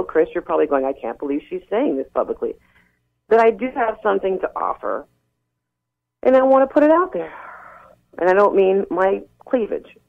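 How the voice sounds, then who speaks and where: A woman rock singer speaks frankly and earnestly down a phone line, often restarting her sentences. Her voice has a gravelly fry. The sound is thin and narrow-band like a telephone. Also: medium pitch. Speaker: rock singer and interview guest. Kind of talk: candid phone interview.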